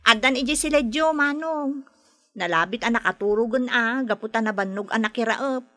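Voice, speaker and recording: An actress playing a frank, concerned younger sister in an Ilocano radio drama, speaking in an earnest, admonishing way. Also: female voice, a little high, moderate pace, sharp tone, dry recording, clean studio sound. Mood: reproving. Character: frank, concerned younger sister scolding her drinker brother.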